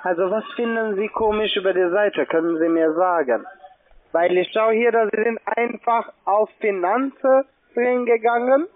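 A man with a very high voice speaks non-native German with a foreign accent over a narrow, tinny phone line. He works through a pushy sales call, putting questions to the customer. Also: a little slow. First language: not German. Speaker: call-centre agent selling online trading.

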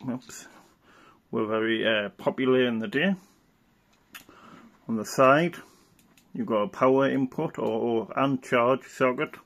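Gravelly-voiced man giving an informal demonstration. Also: mid-range voice. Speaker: presenter showing vintage radio gear. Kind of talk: informal hands-on product demonstration. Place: indoors at a worktop.

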